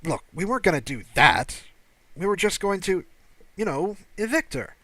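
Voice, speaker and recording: A man voicing a flustered, indignant young male alien security officer who protests haltingly in an audiobook. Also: sits high, very animated, bright, forceful tone, no room sound, voice pushed up, heavily acted. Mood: defensive. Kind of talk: audiobook character dialogue.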